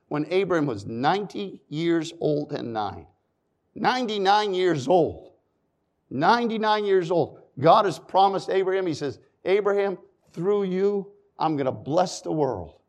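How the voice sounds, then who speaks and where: Dynamic male preacher, fairly high voice. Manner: dynamic, swings from loud emphasis to quiet asides; reads scripture in old-fashioned phrasing. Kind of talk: sermon.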